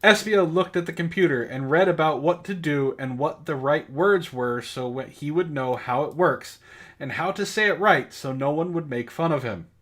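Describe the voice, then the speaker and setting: A man's voice: fairly high pitch, normal speed, fairly bright tone. A man co-hosting a comedic book club, reading amateur fiction aloud in a mock-serious way with joking asides. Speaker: podcast co-host and story reader.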